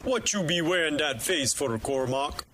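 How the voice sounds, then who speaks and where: Teasing troll shaman, mock caribbean accent, kinda high voice, bit of hiss behind it. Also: animated, normal speed, fairly bright tone. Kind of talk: fantasy audio drama acting.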